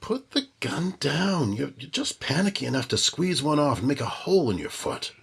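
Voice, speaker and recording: An actor playing a cocky, impatient small-time blackmailer in an old-time radio thriller, sarcastic and tough-talking. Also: male voice, on the high side, big pitch swings, moderate pace, creaky, fairly bright tone, slightly rough, full character voice. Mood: indignant, wary.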